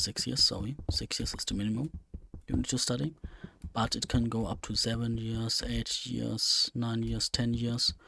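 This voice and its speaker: Slow, gravelly young man. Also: mid-range voice, a bit bright, a little hiss or hum. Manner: rambling, thinking aloud with many fillers. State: slightly strained voice. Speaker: let's play gamer. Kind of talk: casual gameplay commentary.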